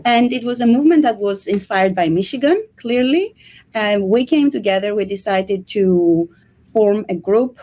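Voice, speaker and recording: A woman from Greece in her thirties with a Greek accent, a history lecturer speaking in an interview with many pauses and ums. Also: mid-range voice, expressive, mellow. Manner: hesitant, many ums and restarts. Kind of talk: casual interview talk.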